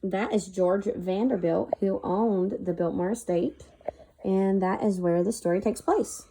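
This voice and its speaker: Creaky-voiced woman on a noisy recording. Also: medium pitch, measured pace, mellow. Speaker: adult co-host of a children's story time. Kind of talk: casual introductions and prompting.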